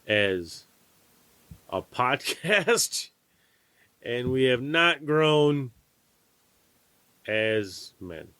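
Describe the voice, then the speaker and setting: A man's voice: mid-range voice, sing-song, extremely slow, long pauses, sharp tone, clean tone, no room sound. Man in his thirties, wry banter, dry close sound, casual podcast talk. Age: 30s. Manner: wry, laid-back banter. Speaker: podcast co-host. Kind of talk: casual chat.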